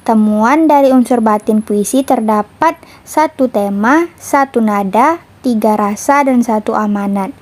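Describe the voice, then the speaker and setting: A woman's voice: a little high, measured pace, a little mellow. A woman, reading a prepared lesson on literary analysis aloud and stopping to read out each citation. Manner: reads citations aloud steadily. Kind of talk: reading a lecture script.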